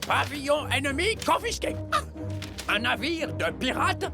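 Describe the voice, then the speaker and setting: Shrill scheming pirate critter. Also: female voice, very animated, unhurried, vocal fry, heavily acted. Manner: bossy, giving crew orders. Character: scheming pirate critter, small mischievous villain. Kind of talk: French cartoon dubbing. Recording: music under the voice.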